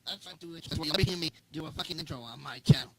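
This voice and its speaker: A man's voice: fairly high pitch, racing, noisy background. A man who hosts a channel, ranting in a casual monologue, swearing a lot and repeating himself. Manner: profane, repetitive ranting. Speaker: channel host.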